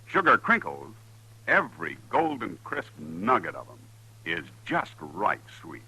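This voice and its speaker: A man's voice: sits low, huge pitch swings, a little slow, sharp tone, husky, low-quality recording. An American man announcing in a polished, salesmanlike 1950s radio style. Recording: vintage 1950s radio broadcast with music. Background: music.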